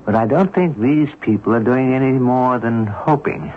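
Male actor playing genteel gentleman detective, articulate, theatrical, mid-pitched, 1970s radio drama, dull noisy recording. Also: normal speed, natural voice. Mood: intrigued, explaining. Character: Andrew, genteel, inquisitive amateur sleuth and gourmet. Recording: muffled, noisy old radio broadcast recording.

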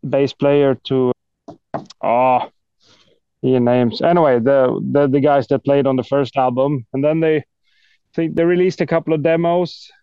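A man's voice: medium pitch, mellow, smooth, dry recording, dull audio. A man from Sweden with a Swedish accent chats casually as an interview guest, in a hesitant, self-deprecating way. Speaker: guest, death metal guitarist.

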